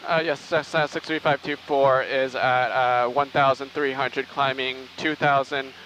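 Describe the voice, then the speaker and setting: A man's voice: medium pitch, steady tone, a little slow. A man pilot making clipped, procedural radio calls in aviation phraseology from a small airplane. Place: small airplane cockpit in flight. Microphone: aviation headset. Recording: noisy small-plane cockpit intercom audio.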